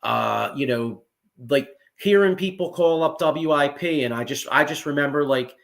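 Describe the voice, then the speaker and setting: A man, hosting a casual sports chat, excitable and profane with lots of restarts. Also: on the high side, moderate pace, creaky. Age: 40s. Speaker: podcast host.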